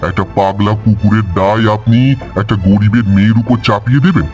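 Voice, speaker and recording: An honest village man played by a male actor in a cartoon. His voice is on the low side, earnest and polite yet firm, with soft music underneath. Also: fairly even intonation, fairly quick, natural voice. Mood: earnest, protesting. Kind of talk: cartoon dialogue.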